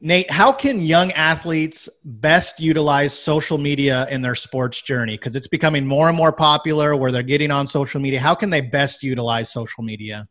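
A man's voice: on the high side, moderate pace, few pauses, fairly bright tone. A man in his forties hosting a casual, conversational interview. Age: 40s. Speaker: interview host.

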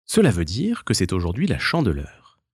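A man voicing a kindly, gently teasing old grandmother in a children's story. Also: low-pitched, huge pitch swings, moderate pace, husky, dry recording, studio quality, exaggerated voice. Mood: warm, teasing. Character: kindly, mischievous old grandmother telling a legend.

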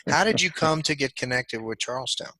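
A man hosting a podcast, chatty and relaxed as he asks his guest questions. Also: mid-range voice, no echo, poor audio. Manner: chatty, quick asides. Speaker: podcast host. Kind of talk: casual interview talk.

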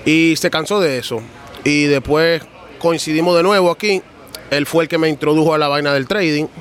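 A man's voice: a little high, normal speed. A man who grew up in New York, chatting as a podcast guest and telling his story in loose, slangy talk. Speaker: guest, trader. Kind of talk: casual podcast chat.

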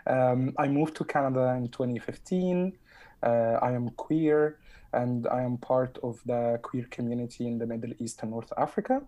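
Dark-voiced speaker with an Egyptian accent. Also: medium pitch, normal speed. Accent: Egyptian Arabic. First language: Arabic. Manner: hesitant, many fillers, sarcastic humor. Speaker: roundtable guest. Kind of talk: casual discussion.

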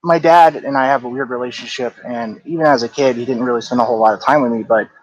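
A man in his thirties, a podcast guest, talking in a reflective, easygoing way and hedging often. His voice is mid-pitched and ordinary, with a relaxed conversational flow. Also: quick pace, lo-fi sound. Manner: reflective, hedging with "like" and "I don't know". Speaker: guest, game company co-founder. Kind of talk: casual interview chat.

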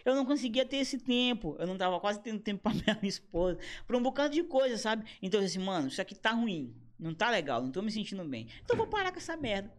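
A man in his thirties, a comedian and motion designer, chatting casually in a slangy, animated way with quoted asides. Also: sits high, fast, few pauses. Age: 30s. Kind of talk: casual podcast conversation.

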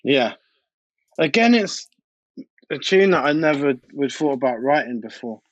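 A man's voice: medium pitch, animated, measured pace, no echo, dull audio. A British man, a DJ and producer, chatting informally and anecdotally as an interview guest. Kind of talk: casual interview chat.

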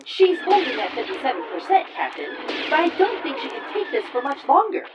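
A woman's voice: sits high, sing-song, fairly quick, far from the mic, lo-fi sound, loud music behind the voice. A woman playing a spirited, cautious starship engineer in a space audio drama, giving frank, urgent reports. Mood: urgent, worried.

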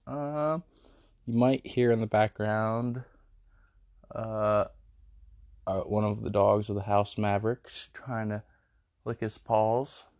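A man's voice: on the low side, fairly even intonation, slow, pauses often, darkish tone, no echo. A young man hosting his podcast, a bit congested from a cold, rambling casually with lots of fillers. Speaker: podcast host. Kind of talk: casual late-night podcast chat. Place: a house with dogs.

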